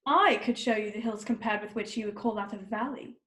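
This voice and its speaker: A woman's voice: medium pitch, fairly quick, pushed and bright, echoey room, natural voice. A woman playing an imperious, scolding queen in a children's readers' theater performance. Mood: haughty, scolding. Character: bossy, haughty queen ordering a young girl about.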